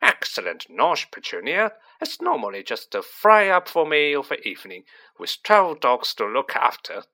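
A man's voice: fairly high pitch, wildly swooping pitch, moderate pace, pushed and bright, full character voice. A man voicing a boorish, snobbish middle-aged aunt in a storybook, with a blustering, contemptuous delivery. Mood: smug, boozy. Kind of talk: storybook character voice.

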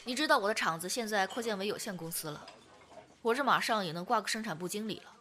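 A woman's voice: medium pitch, animated, fast, noisy background, natural voice. A woman acting a strong-willed businessman in his early thirties in a Chinese radio drama. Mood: calm, scheming.

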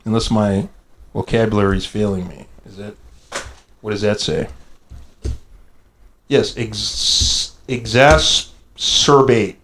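A man's voice: on the low side, fairly even intonation, takes it slowly, long pauses, fairly bright tone, slight background noise. A man hosting a casual, rambling podcast after-show, hesitantly searching for words and poking fun at himself. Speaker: podcast host, audio drama producer.

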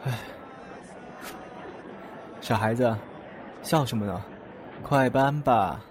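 A man's voice: medium pitch, slow, noisy background, lightly acted. A man acting a kind, easygoing young student in an audio drama, casual and a little bashful. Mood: friendly.

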